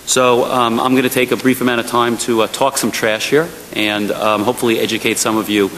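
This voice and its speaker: A man's voice: medium pitch, flat delivery, a little fast, a bit bright. A man in his forties giving a conversational panel talk into a table microphone in a large hearing room, engaging the audience with frequent ums. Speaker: trade association advocate. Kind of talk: policy panel presentation. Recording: long hall reverb, faint hiss.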